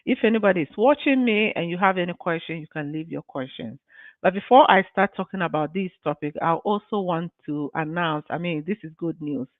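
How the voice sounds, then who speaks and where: A woman's voice: fairly low pitch, fairly quick, dry recording. A woman from Ghana, a business mentor giving an earnest, encouraging motivational talk on a live stream, often saying "you know". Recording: dry tinny phone-like line.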